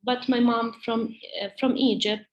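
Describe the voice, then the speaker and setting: A woman whose first language is Arabic speaks with an Arabic accent as a teacher presenting to parents, in a halting, hesitant way. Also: fairly high pitch, level tone, highs cut off. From Palestine.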